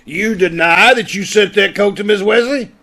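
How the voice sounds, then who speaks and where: A man's voice: sits high, normal speed, sharp tone. A man playing a blustering, bossy police inspector in the style of a 1940s radio drama. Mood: accusing, bossy. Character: blustering male police inspector, accusing and indignant. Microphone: video call.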